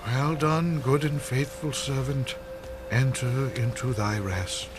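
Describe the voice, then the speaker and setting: An actor playing a steady, solemn apostle who leads believers with measured authority in an audio drama. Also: male voice, mid-range voice, music in the background, close to an everyday voice.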